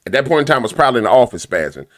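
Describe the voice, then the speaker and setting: A man with a big, loud voice delivers a fiery commentary rant. He hammers his points home through repetition, rising and falling like a preacher in full flow. His pitch sits in a plain middle register. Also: sing-song, racing. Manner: emphatic, repetitive, preacher-like cadence. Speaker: commentary show host.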